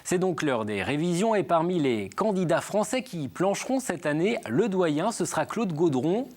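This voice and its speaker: Man reading the evening news. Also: on the high side. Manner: steady, measured newsreading. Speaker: news anchor. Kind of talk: read television news bulletin.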